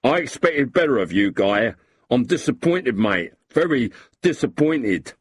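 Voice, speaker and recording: A man voicing a boozy, sentimental lowlife with a husky voice and a blustering, self-pitying way of talking. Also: big pitch swings, unhurried, slight background noise. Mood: aggrieved.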